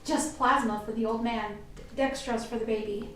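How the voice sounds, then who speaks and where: A woman's voice: fairly high pitch, steady tone, normal speed, lightly acted. A woman playing an earnest, nervous medical technician in a radio play. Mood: explaining. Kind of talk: amateur radio play acting. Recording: very echoey room, distant, faint music.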